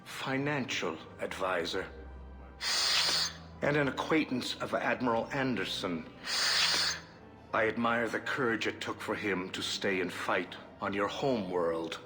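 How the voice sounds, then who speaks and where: An actor playing a courteous alien financial advisor and shady agent in a video game, speaking in a formal, measured, businesslike way. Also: male voice, lowish voice, pushed and bright, soft background music.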